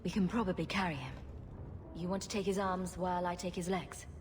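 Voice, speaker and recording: A woman's voice: lowish voice, steady tone, brisk, a little hiss or hum, close to an everyday voice. A woman playing a witty, sarcastic rogue adventurer heroine in a video game. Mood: light, supportive. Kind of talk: video game cutscene acting.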